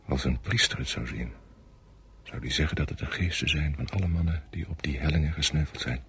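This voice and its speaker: An actor playing a sombre, doubtful subordinate French army officer in a radio play, deferential yet brooding. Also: male voice, very deep voice, fairly quick, fairly bright tone, husky, exaggerated voice. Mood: brooding, ominous. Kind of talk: Dutch radio play acting.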